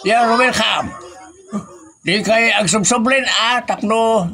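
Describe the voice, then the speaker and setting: A man's voice: high voice, takes it slowly, noisy background. A man playing a stingy, grumbling old husband with an Ilocano accent in a comedy audio drama. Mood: exasperated. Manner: complaining, exclamatory.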